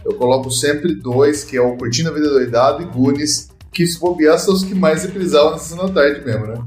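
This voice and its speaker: A man's voice: on the high side, a little fast, a little mellow, echoey room, soft background music. A man with a Brazilian Portuguese accent hosting a casual film podcast, enthusiastic and full of "cara" and "né". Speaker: podcast host.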